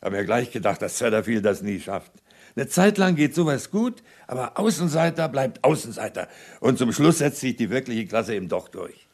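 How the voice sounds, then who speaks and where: A brusque football-mad neighbor. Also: male voice, mid-range voice, moderate pace, creaky. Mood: boastful. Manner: brusque, opinionated, lively. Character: gruff football-mad neighbor, middle-aged man. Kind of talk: radio crime drama acting.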